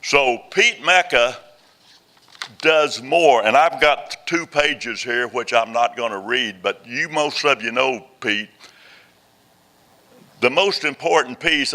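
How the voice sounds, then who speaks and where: A man's voice: mid-range voice, bright, forceful tone. An older man introducing a guest speaker at a veterans' meeting, rousing the room and mixing in jokes. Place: meeting hall before a live audience.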